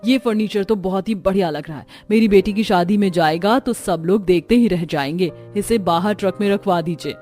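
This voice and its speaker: A woman's voice: medium pitch, normal speed, hardly any pauses, dark tone, soft background music, lightly acted. A woman voicing a boastful, haughty mother in a cartoon story, showy and imperious. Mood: boastful, bossy. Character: boastful, haughty mother, scornful of her stepdaughter.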